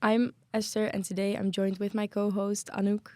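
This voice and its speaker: A woman's voice: mid-range voice, level tone, moderate pace, darkish tone, no room sound. A young woman hosting a podcast about student life, chatty and tripping over a word now and then. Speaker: podcast host.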